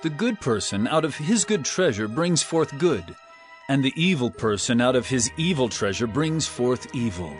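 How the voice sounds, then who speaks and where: A man's voice: medium pitch, very animated, normal speed, faint music behind, natural voice. An actor playing a stern, earnest male teacher with authority, declaiming emphatically in a dramatized scripture production. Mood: stern rebuke.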